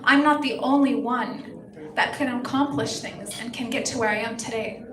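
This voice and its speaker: A woman's voice: on the high side, moderate pace, a crowd in the background. A young woman from Palestine, a pageant contestant, answering press questions in an earnest, impassioned way. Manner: earnest, impassioned, speaks in full sentences. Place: hotel event hall with a crowd. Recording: echoey hall, busy room noise.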